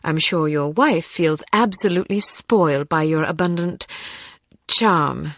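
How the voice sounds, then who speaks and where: A woman's voice: low voice, a little slow, no room sound, clean studio sound. A woman playing a composed young female spy posing as a reporter in a video game, polite and drily persistent. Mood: polite charm. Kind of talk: scripted video game voice acting.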